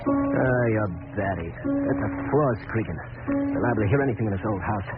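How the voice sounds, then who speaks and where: An actor playing an edgy, impatient, slangy crook in an old radio drama. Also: male voice, lowish voice, lively intonation, normal speed, loud music behind the voice, close to an everyday voice. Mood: jittery. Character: jittery, impatient male gangster henchman. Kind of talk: 1940s radio acting. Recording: tinny vintage radio recording.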